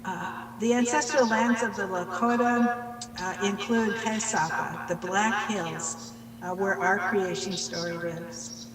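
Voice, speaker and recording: A woman's voice: medium pitch, fairly even intonation, slow, distant mic, a loud crowd. Older woman, reverent, in an echoey hall. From Pine Ridge Reservation, United States. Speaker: workshop speaker leading prayer. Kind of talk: slow prayer and self-introduction.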